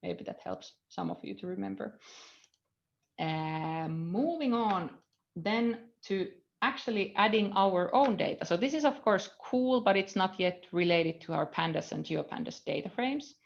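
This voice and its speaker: A woman with a Finnish accent teaches from her computer, her lowish voice carrying a gravelly fry. She thinks aloud as she codes, trailing off and filling pauses. The sound is dull and lo-fi, like a webcam mic. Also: expressive. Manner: thinking aloud, hesitant fillers, trailing off while reading code. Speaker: university lecturer. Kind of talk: live coding screencast lesson. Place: indoor room at a computer.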